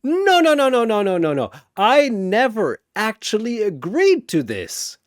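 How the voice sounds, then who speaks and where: A man's voice: high-pitched, huge pitch swings, a little slow, no room sound, clean studio sound, voice pushed up, exaggerated voice. A man voicing a boyish, good-natured, easily flustered superhero with casual youthful speech, as in a fantasy battle story. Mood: indignant.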